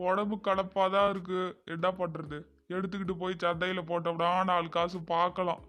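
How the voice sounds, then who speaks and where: A man voicing a poor, hardworking fisherman father in an animated story, in earthy speech. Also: sits high, a little fast, soft background music. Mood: resigned. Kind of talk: cartoon dialogue in colloquial rural speech.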